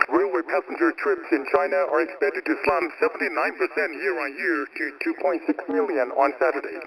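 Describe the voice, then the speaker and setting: A man reading a scripted news bulletin in a formal, measured way, heard over a crackly shortwave radio broadcast. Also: a little high, creaky. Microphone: broadcast heard over shortwave radio receiver.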